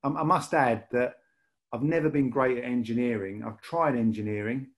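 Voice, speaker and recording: A man's voice: medium pitch, moderate pace. A man with a Lancashire accent, a podcast guest telling his story in a rambling way, with many restarts. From Lancashire, England. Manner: rambling, repeats words and restarts often. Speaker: podcast guest, rail industry manager. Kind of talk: casual podcast interview.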